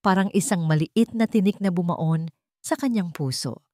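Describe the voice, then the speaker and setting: A woman narrating a scripted drama story in a measured, dramatic storytelling manner. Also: lowish voice, darkish tone, dry recording, studio quality.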